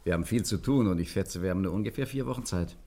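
An actor playing a suave, self-satisfied gentleman gangster in a 1950s radio play, pompous with a playful smugness. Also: sits low, racing. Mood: jovial, scheming.

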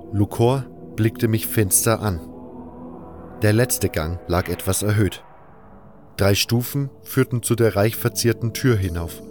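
A man reading scripted first-person narration for a fantasy audio drama. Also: on the low side.

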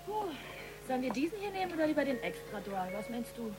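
A woman's voice: high voice, big pitch swings, fast talker, over music. An actress playing a young woman out shopping with her lover, chatty and casual, in radio drama dialogue. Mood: casual, undecided.

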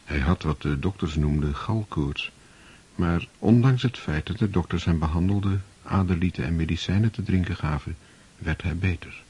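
A man narrating a radio play in a measured, solemn way. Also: sits low, a little mellow, slightly rough.

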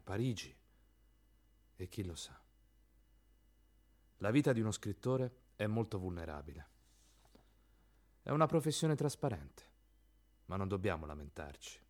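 An actor playing a reflective, lonely writer in a solemn, measured and rhetorical theatrical monologue. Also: male voice, on the low side. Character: reflective male writer, soberly lamenting his exposed, lonely trade.

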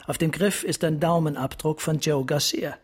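A man playing a grave, resigned police captain in a radio drama, speaking deliberately and formally with a husky, commanding voice. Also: fairly high pitch, steady tone. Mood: grave, insistent.

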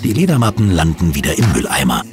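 Audio drama storyteller, male, lowish and very lively, expressive scripted narration, faint music. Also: quick pace.

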